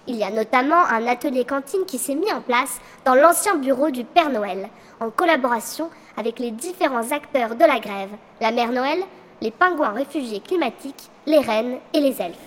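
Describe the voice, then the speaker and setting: A woman's voice: high-pitched, expressive, normal speed. A woman playing Santa's daughter as a live reporter, polite and dramatic, in a comic audio drama. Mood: polite, presenting. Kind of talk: mock live news reporting in audio comedy. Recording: long reverberant hall.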